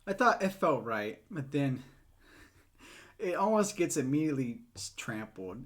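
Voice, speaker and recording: A man's voice: fairly high pitch, sing-song, measured pace. A young man co-hosting a podcast, chatting casually and retelling scenes with laughs. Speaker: podcast co-host. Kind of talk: casual chat.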